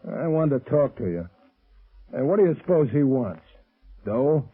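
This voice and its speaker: An actor playing a dim, eager wrestler turned bodyguard, slangy and tough, in an old 1930s radio drama recording. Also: male voice, medium pitch, sing-song, unhurried, breathy edge, heavily acted. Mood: eager, casual. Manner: slangy, tough, simple-minded. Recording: muffled, band-limited old radio recording.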